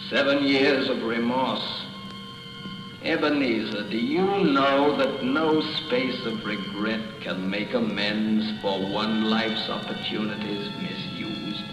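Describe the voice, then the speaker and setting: A man's voice: fairly low pitch, takes it slowly. An actor playing the mournful, reproachful ghost of a dead businessman, solemn and declamatory, in a radio drama. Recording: echoey reverberant hall effect, faint music.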